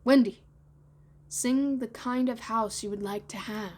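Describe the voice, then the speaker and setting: A woman voicing a bossy boy who leads a gang of children, loud and commanding in a storybook reading. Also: a little high, a little slow. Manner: commanding, declarative. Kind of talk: storybook read-aloud character voice.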